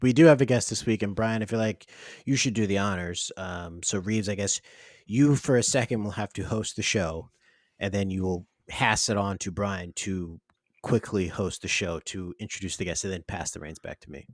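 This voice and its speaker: A man with a fairly low voice hosts a casual chat podcast. He sounds upbeat and a little bossy in a playful way. The sound is dry and close, with no room around it. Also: normal speed, dry recording. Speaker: podcast co-host.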